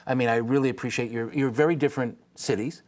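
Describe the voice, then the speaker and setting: A man's voice: medium pitch, fairly even intonation, fast, a little mellow. A man moderating a community media forum, asking questions in a chatty, easygoing way. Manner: chatty, laughs easily. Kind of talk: panel discussion.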